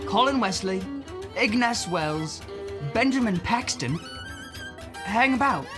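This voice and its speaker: A woman voicing an excitable young amateur scientist street kid in an audio drama. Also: medium pitch, takes it slowly. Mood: stunned. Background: violin music.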